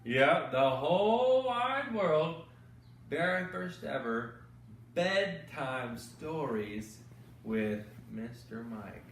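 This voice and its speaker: A man hosting bedtime Bible stories for children, teaching gently with exaggerated, kid-friendly questions and repeated phrases. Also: a little high, expressive, drawn-out words, far from the mic. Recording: echoey room, off-mic, faint noise.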